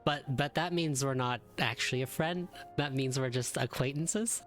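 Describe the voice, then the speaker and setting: A man's voice: mid-range voice, normal speed. A man giving casual live reaction commentary as a gaming streamer. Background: game music.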